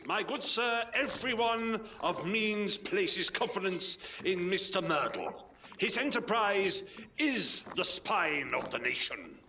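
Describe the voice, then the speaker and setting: An actor playing a pompous, newly rich older Victorian father in an audio drama, grandiose and formal in his halting delivery. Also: high-pitched, big pitch swings, bright, forceful tone, a little hiss or hum, full character voice. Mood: haughty, sharp.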